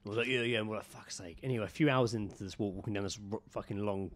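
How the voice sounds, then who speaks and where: A man's voice: fairly low pitch, racing. A man with a southern English accent co-hosting a podcast, telling anecdotes in a casual, jokey, sweary way. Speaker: podcast co-host.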